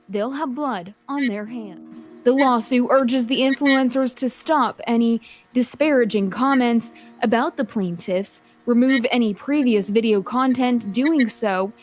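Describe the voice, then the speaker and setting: A woman's voice: a little high, unhurried, soft background music. A woman reporter delivering scripted news narration in a formal reading style.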